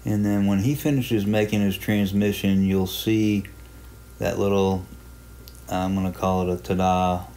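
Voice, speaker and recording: A man giving a casual, unhurried tutorial narration, explaining a hobby software program step by step in plain terms. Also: sits low, steady tone. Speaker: amateur radio hobbyist presenting a software walkthrough.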